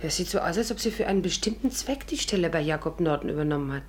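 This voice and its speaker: An actress playing a poised, sharp-witted woman investigator in a 1950s German radio play, with a polite, wry, questioning manner. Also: sits low, expressive, quick pace, a little hiss or hum. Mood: probing, thoughtful.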